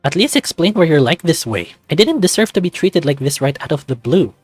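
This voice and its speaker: A nervous, earnest young furry hero from a visual novel, voiced by a man, flustered and pitched up. The voice leaps around as he exclaims, close and dry over faint music. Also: sing-song, fairly quick, husky, no room sound. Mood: baffled, protesting. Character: nervous, earnest male furry newcomer at a lab.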